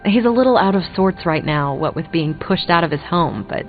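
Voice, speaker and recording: An actress playing a loyal, plain-spoken superhero teammate in a video game, conversational and assured. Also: female voice, lowish voice, lively intonation, fairly quick, phone-quality sound, faint music behind, natural voice. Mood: assured, fond. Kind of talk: scripted video game dialogue.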